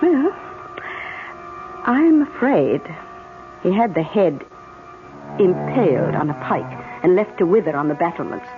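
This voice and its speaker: A woman's voice: fairly low pitch, very animated, unhurried, pauses often. An actress playing a chatty, gossipy upper-class British lady with dry understatement in an old-time radio drama. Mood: reluctant relish. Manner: chatty, drily understated, faintly theatrical. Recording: narrow-band old radio recording with music.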